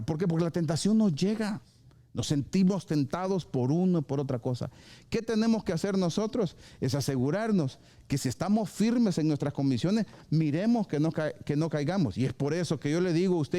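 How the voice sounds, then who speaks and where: A male pastor preaching to his congregation at a live church service. His voice sits high for a man but has a soft, mellow tone. He preaches in a lively way, acting out quick exchanges and slipping English phrases into the sermon. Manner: lively, acts out short dialogues and slips into English phrases.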